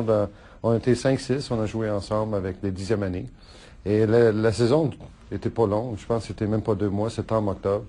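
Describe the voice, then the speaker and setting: A middle-aged man whose first language is Italian, answering interview questions in a halting way with many fillers and restarts, laughing often. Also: lowish voice, warm tone. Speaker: politician interviewee.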